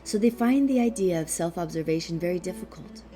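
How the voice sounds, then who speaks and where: A woman reading a philosophical text aloud as scripted narration, in a measured, deliberate way with pauses. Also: on the low side, expressive, mellow, faint music behind. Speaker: audiobook reader.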